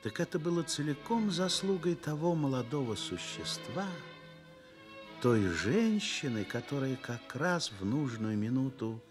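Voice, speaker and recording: A man narrating a Soviet radio drama as an earnest literary storyteller, expressive and measured, swelling and dropping to a hush. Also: medium pitch, music in the background. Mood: earnest, reflective.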